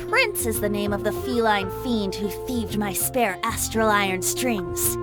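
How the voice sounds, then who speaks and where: A whimsical young male bard in an anime fantasy game. The voice is high and boyish, swinging widely in pitch with flowery, theatrical flourishes. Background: background music.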